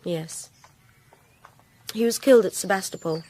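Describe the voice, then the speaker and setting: A woman's voice: medium pitch, a little slow, warm tone, lightly acted. Actress as genteel, articulate Victorian married lady. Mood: reflective, subdued. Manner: formal, articulate, restrained. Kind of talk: period radio drama acting.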